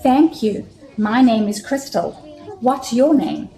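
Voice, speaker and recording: A woman's voice: a little high, sing-song, takes it slowly, mellow, exaggerated voice, rough recording. A woman playing a sweet, friendly little girl of about five at a film table read.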